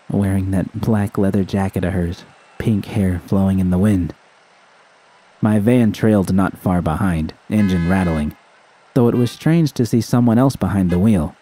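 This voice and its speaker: A man's voice: low-pitched, warm tone, low-quality recording. A man reading a scripted first-person story as narration. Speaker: audiobook reader of fan fiction.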